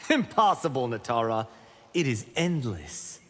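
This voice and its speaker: An actor playing a cocky, boastful young martial-arts monk in video game fight banter. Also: male voice, medium pitch, big pitch swings, unhurried, fairly bright tone, long reverb tail, slight background noise. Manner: brash, proud, playful.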